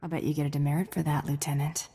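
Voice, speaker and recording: Low, husky bounty hunter heroine. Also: female voice, flat delivery, very fast, mellow, long reverb tail. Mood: cocky, teasing. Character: female alien bounty hunter, cocky, principled, dry-witted. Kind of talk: video game voice acting.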